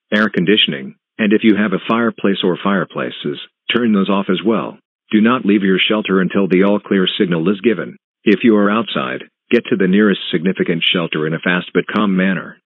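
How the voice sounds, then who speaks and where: A man reading a scripted emergency alert announcement in a formal, impersonal, measured manner. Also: low-pitched, no echo. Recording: tinny phone-like alert broadcast audio.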